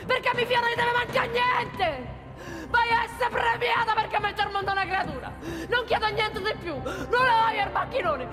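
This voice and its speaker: A woman's voice: very high voice, moderate pace, bright, forceful tone, big-room sound, soft background music. An actress playing a plain-spoken, desperate working-class woman with a Roman accent in a theatrical monologue. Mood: furious, pleading. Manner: plain-spoken, ungrammatical, earthy. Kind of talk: theatrical monologue in colloquial dialect.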